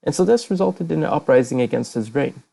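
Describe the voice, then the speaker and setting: A man's voice: on the high side, lively intonation, quick pace, vocal fry, mellow. A man presenting a casual slide lecture over a voice channel, hesitant, with many fillers and self-corrections. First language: not Kazakh. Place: online voice channel talk.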